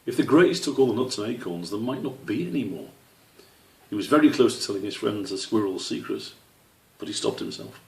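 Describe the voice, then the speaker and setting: A man narrating a children's storybook in a measured, expressive way, as an author reading aloud. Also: fairly low pitch, vocal fry. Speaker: author reading his own book. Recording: roomy echo, distant microphone.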